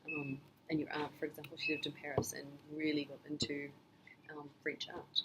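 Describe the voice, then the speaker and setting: Measured middle-aged woman, thoughtful. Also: low voice, completely flat delivery, noisy background. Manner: thoughtful, measured, with frequent ums. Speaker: parent being interviewed by her child. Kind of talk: casual family interview.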